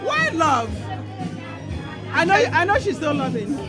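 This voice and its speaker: Bubbly Nigerian woman host, high bright voice, interviewing at a noisy party. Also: big pitch swings, unhurried. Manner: bubbly, laughing, effusive. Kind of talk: event red-carpet interview. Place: busy gym party indoors. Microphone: handheld mic. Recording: loud party music and crowd.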